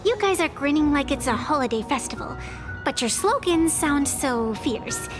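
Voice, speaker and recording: An actress playing a cheeky, sharp-tongued young woman in a video game, teasing and sarcastic. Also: high voice, expressive. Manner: teasing, sarcastic, quick to bite back. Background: background music.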